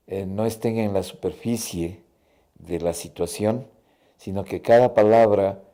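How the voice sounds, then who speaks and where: A man talking reflectively in an interview, deliberate, pausing between phrases. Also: deep voice, warm tone. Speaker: theatre actor and scriptwriter.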